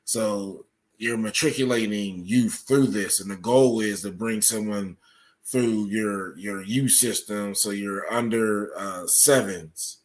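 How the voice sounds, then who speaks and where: Low-voiced man explaining matter-of-factly. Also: takes it slowly. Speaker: podcast guest, writer explaining his subject. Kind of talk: explanatory interview talk.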